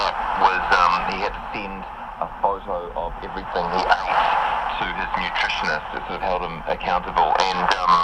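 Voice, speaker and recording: Very noisy radio, deep-voiced male interviewer. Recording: very noisy radio reception, re-recorded from speaker.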